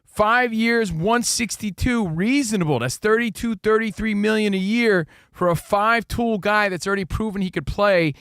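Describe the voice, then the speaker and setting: Hyped sports radio co-host, dry studio sound. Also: male voice, high voice, normal speed, smooth, no room sound. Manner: energetic, hyped, jokey rhymes. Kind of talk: casual sports talk show banter.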